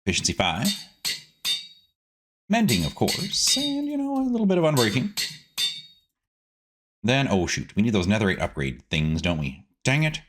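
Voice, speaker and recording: A man's voice: lowish voice, wildly swooping pitch, moderate pace, pauses often, background noise. A man hosting a casual gaming video, chatting to himself playfully as he plays, with silly words and sing-song asides. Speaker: gaming host. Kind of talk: casual game commentary, let's play.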